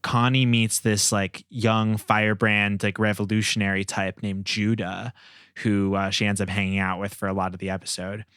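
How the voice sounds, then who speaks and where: Podcast host guy chatting, lowish voice, real dry sound. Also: little pitch movement, normal speed, fairly bright tone, clear, resonant tone, dry recording. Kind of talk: casual podcast chat.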